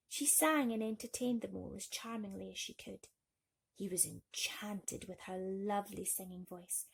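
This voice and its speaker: A woman's voice: mid-range voice, vocal fry, darkish tone, slightly rough. A woman storyteller narrating a bedtime story expressively for children.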